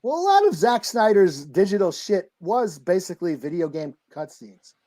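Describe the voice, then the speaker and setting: A man's voice: high-pitched, big pitch swings, normal speed, warm tone. A man co-hosting a podcast, chipping in with casual, sarcastic remarks. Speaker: podcast co-host. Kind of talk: casual chat.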